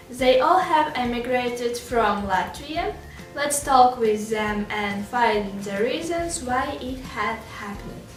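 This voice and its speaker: A woman host with a somewhat high voice. She formally reads out scripted interview questions. The room is echoey, the mic is far off, and faint music plays. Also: fairly bright tone.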